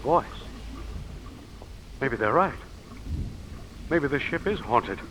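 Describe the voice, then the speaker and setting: A man's voice: mid-range voice, sing-song, slow, pushed and bright, full character voice. An actor playing a nervous but brave man facing death in an old-time radio drama, earnest, theatrical and apprehensive. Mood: frightened, bewildered. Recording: noisy old radio recording.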